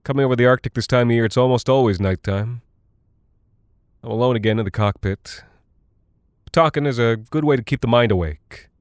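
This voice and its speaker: An actor playing a rattled, folksy American cargo pilot who talks in a halting, confiding way, like a personal audio log in a horror drama. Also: male voice, mid-range voice, smooth, no room sound, clean studio sound, lightly acted. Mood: wistful, drowsy. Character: weary, rattled male military cargo pilot, chatty and folksy.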